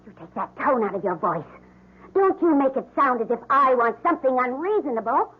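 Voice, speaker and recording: An actress playing a manipulative, demanding young mistress in an old-time radio drama, coquettish, petulant and defiant. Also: female voice, high-pitched, sing-song, brisk, husky, exaggerated voice. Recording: tinny vintage radio broadcast.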